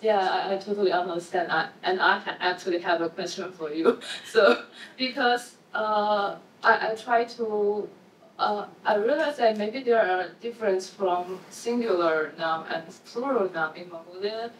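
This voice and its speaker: A woman's voice: mid-range voice, measured pace. A woman whose first language is Chinese, asking questions tentatively at an academic conference, with many fillers. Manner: tentative, frequent fillers and short acknowledgments. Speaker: conference presenter. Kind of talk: academic Q&A discussion. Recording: echoey room, distant mic, faint noise.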